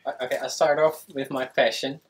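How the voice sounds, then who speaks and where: A man's voice: mid-range voice, flat delivery, brisk, a little hiss or hum. Earnest young man from the Netherlands. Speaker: historian guest. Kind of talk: panel answer. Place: small studio with a world map.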